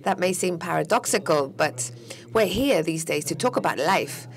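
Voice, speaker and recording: Gravelly-voiced woman interpreting live. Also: on the low side, animated, normal speed.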